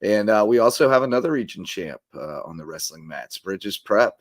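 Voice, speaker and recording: A hoarse man co-hosting a local sports podcast in a casual, rambling way. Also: fairly low pitch, expressive, moderate pace, no room sound. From South Carolina. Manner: rambling, filler-heavy. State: hoarse, tired voice. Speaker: podcast co-host.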